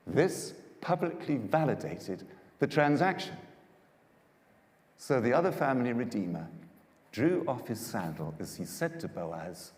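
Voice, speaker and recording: A man's voice: mid-range voice, big pitch swings, a little slow, a little mellow. Theatrical male storyteller in a reverberant hall. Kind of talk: dramatised Bible narration. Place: large church hall. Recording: echoey hall with long reverb.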